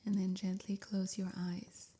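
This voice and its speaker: A woman softly guiding a sleep meditation in a breathy near-whisper, with soothing, measured phrasing. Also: mid-range voice, level tone. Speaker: meditation guide. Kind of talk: guided sleep meditation, scripted.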